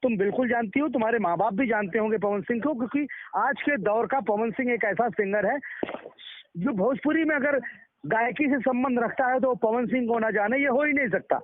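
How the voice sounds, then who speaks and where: A man's voice: very high-pitched, fairly quick. A man from Uttar Pradesh answering interview questions over the phone, emphatic and repeating his words and phrases for weight. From Uttar Pradesh, India. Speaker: interview guest, performing artist. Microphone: phone call. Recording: thin, muffled phone line.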